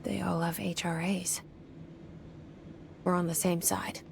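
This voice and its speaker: Hushed, gravelly-voiced guarded heroine. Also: female voice, fairly low pitch, little pitch movement, slow, noisy background. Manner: hushed, terse, reflective. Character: guarded young heroine's private inner voice. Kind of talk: video game inner monologue.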